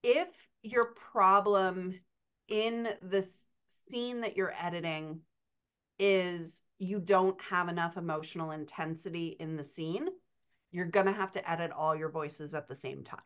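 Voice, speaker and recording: Middle-aged woman, lower register, coach answering questions conversationally with emphasis, slightly lo-fi call audio. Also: fairly even intonation, measured pace, fairly bright tone. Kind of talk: conversational podcast Q&A, explanatory. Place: indoors on a video call. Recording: dull, muffled video-call audio.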